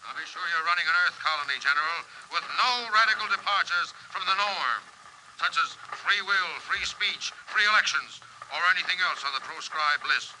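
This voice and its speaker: An actor in a 1950s radio drama playing a pompous, peremptory colonial inspector, heard over a radio set. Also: male voice, on the high side, moderate pace. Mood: overbearing. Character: domineering, officious imperial colonial inspector, male. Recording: bright, faintly noisy old radio recording.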